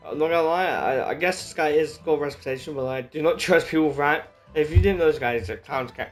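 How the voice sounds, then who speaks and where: A man's voice: on the high side, quick pace. Man rambling wryly over game music. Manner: rambling, wry. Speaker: video game streamer. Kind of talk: casual off-the-cuff game commentary.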